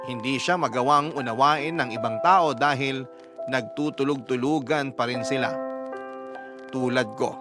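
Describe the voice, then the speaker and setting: Filipino male storyteller over clear music. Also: medium pitch. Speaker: radio host narrator reading a listener's story. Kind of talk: scripted dramatic first-person narration.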